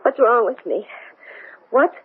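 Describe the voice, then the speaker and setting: A woman's voice: on the high side, lively intonation, slow pace. An actress playing a frightened, headstrong woman scientist in a 1970s radio drama, in an intense, questioning manner. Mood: frightened, distraught. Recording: tinny, noisy old radio broadcast.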